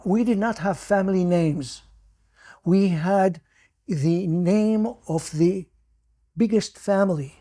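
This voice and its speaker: Older Iraqi-born man, halting recollection. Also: high voice, mellow. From Iraq. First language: Judeo-Arabic. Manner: halting, many restarts and repeated words. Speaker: interview guest. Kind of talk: personal recollection.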